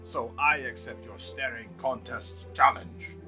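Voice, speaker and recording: A man voicing a muddled old zombie who speaks in grand, formal phrases with sudden high outbursts. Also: fairly even intonation, unhurried, music in the background, natural voice. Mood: pompous. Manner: theatrical, grandly formal, prone to sudden shrieks. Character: addled old zombie man, pompous and well-meaning.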